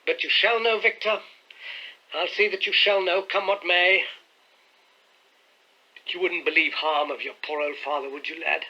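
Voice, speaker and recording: Man acting an old father, meek, pleading, affectionate, raised pitch, clear audiobook character voice with slight rasp. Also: steady tone, a little slow, long pauses, pushed and bright, lo-fi sound, full character voice. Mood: pleading, troubled. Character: anxious, tame, pleading old father, a country squire.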